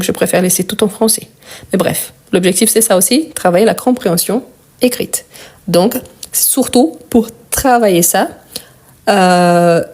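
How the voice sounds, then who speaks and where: A woman's voice: medium pitch, darkish tone. Patient woman teaching French, Brazilian accent. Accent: Brazilian Portuguese. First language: Portuguese. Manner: patient, repeats and spells out endings. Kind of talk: live online grammar lesson.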